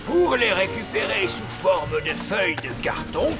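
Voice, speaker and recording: A wise old elf from a children's cartoon, proud and didactic. He speaks slowly and deliberately with animated intonation. Music plays clearly behind the voice. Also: high voice. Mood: explaining. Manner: didactic, self-important.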